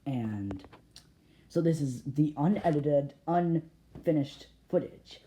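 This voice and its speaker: A filmmaker narrating a behind-the-scenes vlog in a boastful, halting, repetitive way. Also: mid-range voice, expressive, mellow, a little hiss or hum, poor audio. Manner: boastful, self-congratulatory. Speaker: filmmaker making analog horror videos.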